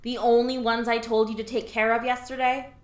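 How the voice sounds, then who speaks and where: A woman's voice: on the high side, level tone, a little fast, close to an everyday voice. A woman playing a sarcastic, weary alien office worker who scolds and trains her lazy new coworker in a comedic audio drama. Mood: exasperated. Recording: roomy echo.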